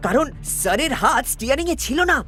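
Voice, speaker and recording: A performer playing an anxious, excitable police sidekick in a cartoon crime drama. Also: high voice, fast talker. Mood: worried, excited. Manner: excitable, worried. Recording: music under the voice.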